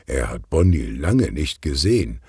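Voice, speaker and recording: A man narrates a children's detective story in a low, mellow voice with a slightly husky grain. His delivery is expressive and unhurried, shaped like classic scripted storytelling. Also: no room sound, clean studio sound.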